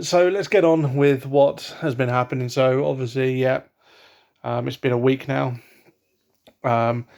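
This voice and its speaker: A man's voice: mid-range voice, creaky, a little mellow. A young man from England talking at home in a casual vlog, hesitant, with many ums and restarts. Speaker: vlogger. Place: at home, indoors. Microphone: laptop webcam.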